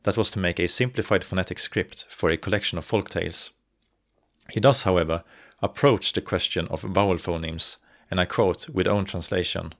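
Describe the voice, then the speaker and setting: A young man with a Swedish accent narrates an educational explainer in a low, even voice. He speaks in a measured, deliberate way and takes care to articulate each point. The recording is close and dry, with no room sound. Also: no echo. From northern Sweden. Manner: measured, careful, slows to articulate example words. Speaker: video essayist on dialects.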